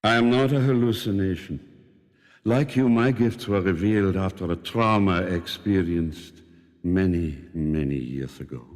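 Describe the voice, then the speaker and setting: An old telepathic stranger in a radio drama. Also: male voice, low-pitched, a little mellow, long reverb tail. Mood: solemn. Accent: foreign accent. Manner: slow, measured, formal speech. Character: old mysterious telepathic man, calm and sorrowful.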